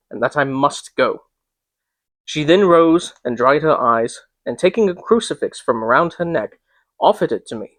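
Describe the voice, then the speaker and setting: A man reading and discussing a classic novel as host, in an informal, measured, slightly hesitant way. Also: on the high side, no room sound. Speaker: reader and host. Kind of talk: dramatic literary reading with casual commentary.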